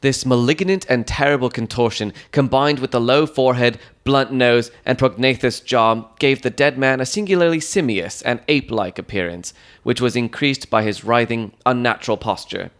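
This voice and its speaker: A man giving scripted, dramatic audiobook narration of a Victorian mystery in a measured, descriptive storytelling manner. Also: mid-range voice, a bit bright.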